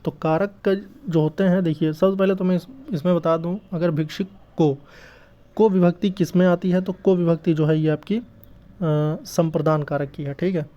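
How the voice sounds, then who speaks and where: A man's voice: a little high, fairly even intonation, normal speed, warm tone. A man teaching exam prep, explaining quiz answers step by step and often checking in with his listeners. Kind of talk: explanatory lesson going through quiz questions. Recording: dull lo-fi recording.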